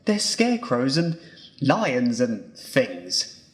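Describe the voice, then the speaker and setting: A man's voice: mid-range voice, huge pitch swings, extremely slow, full character voice. An actor playing a huffy, easily affronted male gatekeeper guard in an audio drama, defensive and exclamatory. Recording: echoey room sound.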